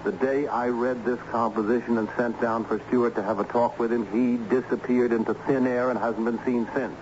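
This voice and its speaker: An actor playing an earnest, learned schoolteacher who speaks insistently and didactically in a radio drama. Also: male voice, mid-range voice, flat delivery, fairly quick, close to an everyday voice. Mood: troubled. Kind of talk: old-time radio drama acting. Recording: muffled, noisy radio drama recording.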